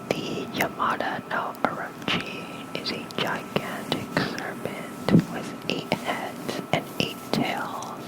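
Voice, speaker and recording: ASMR bedtime narrator: pure soft whisper, slow gentle pacing, breathy, close-miked. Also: rough recording. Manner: gentle, unhurried, murmuring small asides. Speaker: host of an ASMR channel. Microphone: close mic with fluffy cover.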